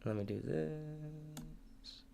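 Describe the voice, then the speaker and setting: Halting nineteen-year-old man ranting. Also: fairly high pitch, gravelly, mellow, slight background noise. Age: late teens. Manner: halting, with frequent restarts and repeated words; frustrated, venting. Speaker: livestreamer. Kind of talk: rambling livestream update. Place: room in his family home.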